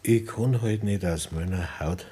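A dying farmer in an old radio play, with a very deep voice. He talks slowly and calmly, husky and resigned, at peace with his end. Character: dying Bavarian peasant man, calm, resigned. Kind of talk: 1960s radio drama acting.

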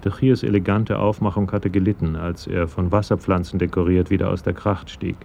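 A man's voice: low voice, fairly even intonation, a little fast, dark tone, slight background noise. A man reading scripted narration for a radio crime drama. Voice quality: gravelly.

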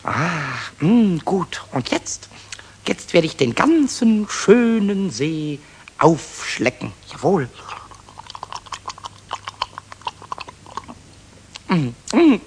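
A tiny, impish male kobold for a children's radio play, very high and swooping wildly in pitch. He is childlike and boastful, stammering with tipsy excitement and slipping into sing-song rhymes. The old recording carries some hiss. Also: slow pace, heavily acted. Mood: relishing.

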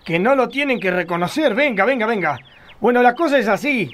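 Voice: mid-range voice, animated, fairly quick, a bit bright, lightly acted. An actor playing a scheming, hot-tempered small-town candidate in a radio play, theatrical and bossy. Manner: bossy, theatrical, barking orders.

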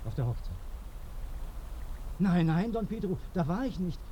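A man's voice: sits high, very animated, a little slow, dull audio, a little hiss or hum. An actor playing a murdered man in a radio play, insistently protesting and justifying himself from the grave. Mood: pleading, defensive. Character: murdered man, pleading, in denial from his grave. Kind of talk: radio drama monologue.

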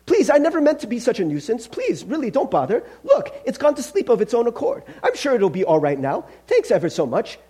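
Storybook ghost played by a man: flustered, wheedling, polite to a fault, high and husky. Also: wildly swooping pitch, brisk, few pauses, mellow, exaggerated voice. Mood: flustered, placating. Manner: flustered, apologetic, over-polite. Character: nervous, wheedling, evasive ghost making polite excuses. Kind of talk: dramatic reading of a story.

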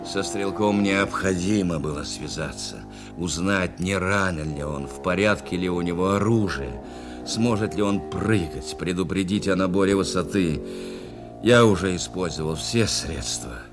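A man's voice: low-pitched, a little slow. An actor playing a reflective male war veteran, narrating his memories in first person in an audio drama, with guitar behind. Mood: grave, matter-of-fact. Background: plucked guitar music.

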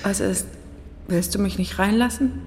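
An actress playing a cheeky, blunt cleaning woman in a radio drama, with a brisk, breezy manner. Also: mid-range voice, a little mellow, in a large hall, a little hiss or hum, close to an everyday voice. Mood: breezy, teasing.

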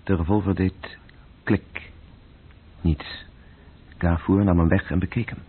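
Detached radio-play narrator, gravel-husky and sombre, over narrow muffled vintage sound. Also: male voice, deep voice, steady tone, slow, exaggerated voice. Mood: tense, restrained. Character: sombre male storyteller, detached and literary. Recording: muffled, phone-like old radio recording.

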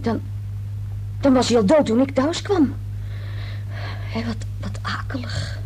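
A woman acting a shaken, anxious character in a Dutch radio play, halting and repeating her words. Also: sits high, mellow, breathy edge, full character voice. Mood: shaken, insistent.

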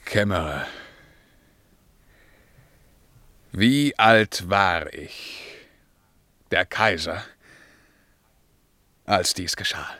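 Slow, low-voiced imperious emperor. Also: male voice, bright, forceful tone, no echo, exaggerated voice. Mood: tense, demanding. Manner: declamatory, commanding, measured. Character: imperious, brooding Byzantine emperor, haughty and troubled. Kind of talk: solemn verse radio drama acting.

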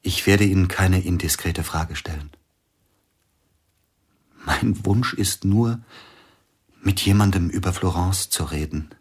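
Radio drama casting: an older grieving pianist, very deep, husky, brooding, hushed. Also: male voice, takes it slowly, pauses often, full character voice. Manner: brooding, drops to hushed near-whispers. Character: grieving older male pianist, bitter, suspicious. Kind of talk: radio play acting.

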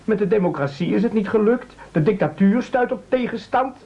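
A man's voice: high voice, lively intonation, fairly quick, gravelly. An actor in a radio play playing a greedy, fawning henchman with a theatrical, declamatory way of talking. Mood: hungry, impatient. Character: gluttonous, fawning, theatrically indignant male castaway henchman. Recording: dull, lo-fi radio play recording.

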